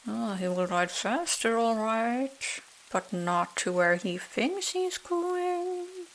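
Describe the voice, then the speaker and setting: A woman's voice: a little high, animated, slow pace, a little hiss or hum, low-quality recording. A woman voicing a sly male coachman in a bedtime story, wheedling and conspiratorial. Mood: scheming. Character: sly coaxing male coachman luring children with treats.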